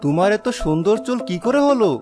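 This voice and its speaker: A man's voice: high voice, expressive, quick pace, music in the background. Puzzled young husband played by a man. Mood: puzzled, amazed. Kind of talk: cartoon dialogue.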